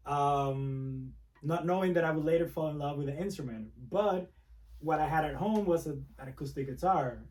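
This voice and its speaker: Mellow-voiced man, off-mic. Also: a little high, recorded from a distance, poor audio. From Dominican Republic. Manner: soft-spoken, reflective, frequent restarts. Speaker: guest, music producer. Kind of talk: casual interview talk.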